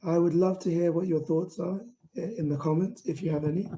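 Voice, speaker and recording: A man with a London accent giving an online talk to camera, speaking in a steady, self-described monotone with frequent fillers and restarts. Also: a little high, a little fast, slight background noise, rough recording. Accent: London English. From England. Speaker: founder giving a pitching talk.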